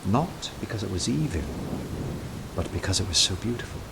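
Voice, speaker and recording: An actor playing an earnest, awestruck detective who narrates a radio drama in an intense, dramatic manner. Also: male voice, lowish voice, lively intonation, normal speed, gravelly, heavy background noise. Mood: awed. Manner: intense, dramatic, hushed at times.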